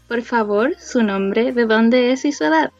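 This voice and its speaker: A woman's voice: on the high side, a little slow, soft background music. Woman host on a muffled mic. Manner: formal, playful, inviting. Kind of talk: hosting introductions.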